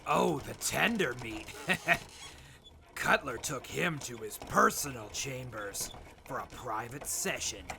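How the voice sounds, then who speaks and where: A man's voice: medium pitch, slow, gravelly, background noise. A man playing a sadistic wasteland raider in an audio drama, gleeful and creepy, lingering over his words about gore. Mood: gleeful menace. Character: sadistic male wasteland raider who savors torture and gore. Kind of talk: post-apocalyptic audio drama acting.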